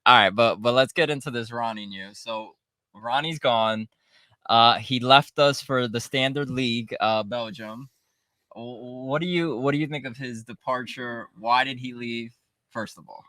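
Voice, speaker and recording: A man's voice: mid-range voice, measured pace, pushed and bright, no echo. A man co-hosting a casual soccer podcast in a small studio, thinking aloud and often saying "I would say". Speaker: podcast co-host. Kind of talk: casual sports chat.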